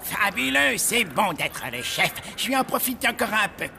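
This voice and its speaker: High creaky pompous cartoon boss. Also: male voice, sing-song, normal speed, heavy background noise, heavily acted. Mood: smug, savouring. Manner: pompous, demanding. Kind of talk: French animated cartoon dub.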